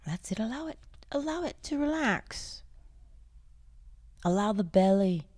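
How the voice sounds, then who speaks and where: A woman leading a guided sleep meditation in a soft, breathy, often near-whispered voice, soothing, unhurried and gently encouraging. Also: mid-range voice, lively intonation, no echo, studio quality.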